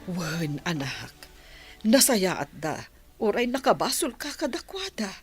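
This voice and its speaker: A woman's voice: mid-range voice, sing-song, a little slow, slightly rough, no echo. A woman playing a worried, apologetic mother who pleads humbly and hesitantly for her child in a radio drama. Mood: relieved, gentle. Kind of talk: Filipino radio drama acting.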